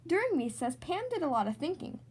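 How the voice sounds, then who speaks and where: A woman reading a children's storybook aloud as host, in an expressive, animated narrating style. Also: high voice, quick pace. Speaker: storybook reader, podcast host.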